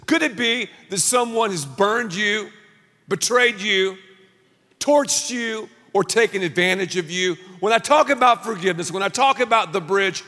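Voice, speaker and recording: A middle-aged man preaching a sermon as pastor, telling stories with animated delivery and shouting out acted-out lines. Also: high-pitched, in a large hall.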